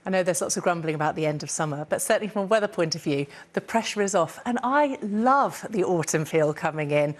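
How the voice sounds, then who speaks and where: A woman's voice: fairly low pitch, expressive, fast, hardly any pauses. A woman presenting the weather forecast in a chatty, jokey broadcast style with asides to her co-presenter. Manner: warm, jokey, chatty delivery.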